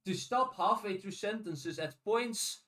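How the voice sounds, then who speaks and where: Male podcast host, lightish higher pitch, slightly distant mic, casual analytical talk with repeated restarts. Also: a little slow, pushed and bright. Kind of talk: casual chat.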